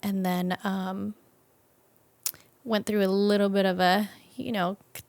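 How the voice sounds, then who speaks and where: A young adult woman with a creaky voice, talking informally as an interview guest and thinking aloud with frequent "like". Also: mid-range voice, level tone, measured pace, a little mellow, no room sound. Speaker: interview guest, business owner. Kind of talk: casual conversational interview.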